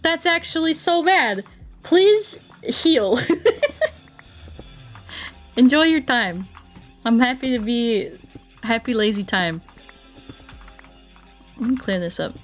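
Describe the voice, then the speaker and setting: A woman's voice: on the high side, expressive, takes it slowly, pauses often, soft background music. A woman livestreaming a video game, chatting casually with viewers in a giggly, playful, mock-earnest way. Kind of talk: casual chat with viewers while playing.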